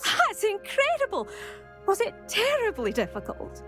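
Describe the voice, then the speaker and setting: A woman's voice: very high voice, huge pitch swings, measured pace, fairly bright tone, soft background music, full character voice. A woman playing a vain, haughty young fashion lover in a video game, with a dramatic manner. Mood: eager. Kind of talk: video game character dialogue.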